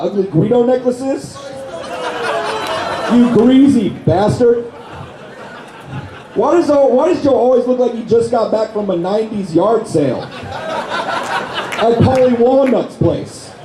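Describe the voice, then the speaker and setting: A man with a hoarse, worn voice, delivering brash, profane insult jokes at a live roast on a convention stage with a handheld mic. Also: extremely high pitch. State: hoarse, lost his voice. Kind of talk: live roast comedy set. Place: convention stage before a crowd. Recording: echoey hall, loud crowd noise.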